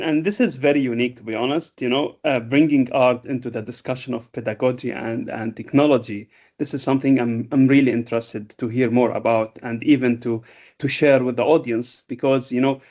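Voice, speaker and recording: Male interview host, mid-pitched, measured delivery, frequent fillers. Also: darkish tone. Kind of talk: interview hosting.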